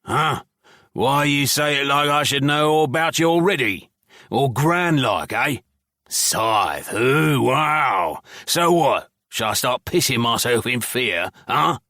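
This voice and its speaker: A man voicing a scrappy male goblin convict, hoarse, crude and mocking. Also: mid-range voice, animated, slow, sharp tone, no room sound, clean studio sound, pitched above the performer's own voice, full character voice.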